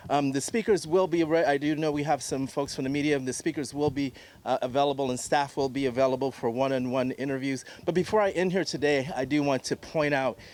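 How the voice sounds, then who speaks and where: A man's voice: mid-range voice, steady tone, fairly quick, darkish tone. A man, an agency chief, hosting a press conference and formally thanking speakers and guests at a podium microphone. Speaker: host, agency CEO.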